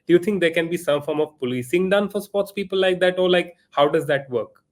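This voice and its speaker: Rambling man in his thirties, slightly high voice. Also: brisk. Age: 30s. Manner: rambling, many fillers, self-deprecating. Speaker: podcast host. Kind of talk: casual interview questions.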